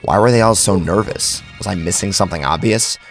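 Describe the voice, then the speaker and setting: A man's voice: deep voice, fairly quick. A man narrating a scripted first-person horror story dramatically, close on the microphone. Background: background music.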